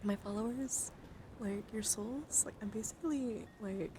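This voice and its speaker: A woman livestreamer doing playful ASMR, drifting between whispers and soft-spoken casual chat as she coaxes and addresses her viewers directly. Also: fairly high pitch, a little slow, background noise. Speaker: livestreamer, VTuber.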